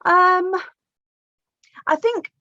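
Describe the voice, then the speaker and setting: A woman's voice: very high voice, drawn-out words, bright, forceful tone, no echo. A woman co-hosting a podcast from home, reading listener questions and teasing her co-host in wry, chatty talk. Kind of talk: casual chat. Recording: dull, dry remote call audio.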